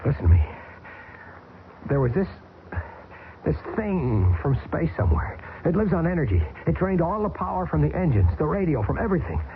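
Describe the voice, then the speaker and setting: A man's voice: on the high side, very animated, normal speed, slight background noise. An actor playing an agitated male lieutenant who insists urgently on a strange encounter, in a 1970s radio drama. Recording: tinny old radio broadcast.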